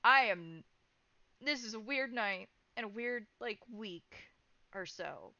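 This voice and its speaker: Bright-voiced, creaky woman role-playing at the table. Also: a little high, animated, dry recording, highs cut off. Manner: halting, informal, conversational. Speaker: tabletop role-play player. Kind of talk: improvised in-character tabletop role-play chat.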